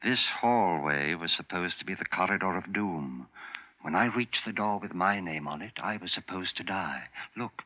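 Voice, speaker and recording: An actor playing a composed, shrewd wealthy man in a 1940s radio mystery, speaking in a measured, knowing, dramatic way. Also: low voice, lively intonation. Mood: grave, ominous. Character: composed, shrewd wealthy man exposing his would-be murderer. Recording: dull vintage radio recording.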